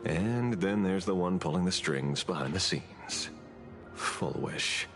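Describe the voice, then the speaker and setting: An actor playing a roguish, amused, worldly muscle-for-hire in a video game, with a teasing, easygoing drawl. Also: male voice, sits low, expressive, slow pace, fairly bright tone, soft background music, heavily acted. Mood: sly, casual.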